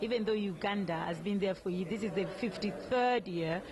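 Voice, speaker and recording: A woman's voice: mid-range voice, a little fast. Chatty middle-aged woman interviewer, crowd behind. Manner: chatty and encouraging, repeats words for emphasis. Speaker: event interviewer. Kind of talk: casual street interview. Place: outdoor community event. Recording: outdoor recording with crowd noise.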